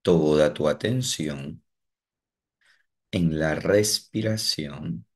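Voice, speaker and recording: Man, guided meditation, very deep voice, extremely slow, calm pauses.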